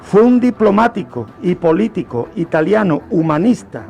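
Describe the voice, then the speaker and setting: A man with a Spanish accent from Spain, telling history on the radio in an explanatory way with deliberate pauses. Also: high-pitched, big pitch swings, vocal fry, darkish tone, faint music behind. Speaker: radio show host. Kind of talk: history storytelling, semi-improvised radio talk.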